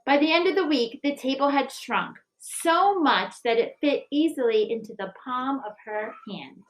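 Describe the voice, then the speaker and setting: A woman in an expressive, deliberate read-aloud style, like school staff sharing a picture book and news with families. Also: high voice, low-quality recording. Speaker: school staff member reading aloud and presenting a recipe book project. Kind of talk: children's storybook read-aloud and school announcement.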